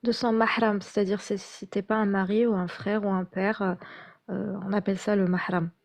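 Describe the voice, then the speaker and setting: A woman's voice: medium pitch, level tone, moderate pace, darkish tone. A woman taking part in a live debate, explaining terms patiently step by step.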